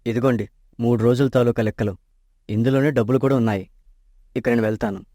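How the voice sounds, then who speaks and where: A man's voice: mid-range voice, steady tone, fast talker, warm tone, no room sound, clean studio sound, lightly acted. A man playing an honest, troubled young man. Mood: earnest. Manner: earnest, troubled.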